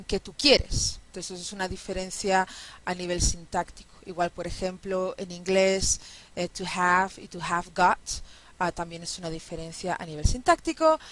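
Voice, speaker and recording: Female lecturer, average pitch, didactic delivery of a slide lesson with frequent check-in tags. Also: rough recording. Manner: didactic, often checking understanding with short tag questions. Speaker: university linguistics lecturer. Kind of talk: lecture narrated over slides.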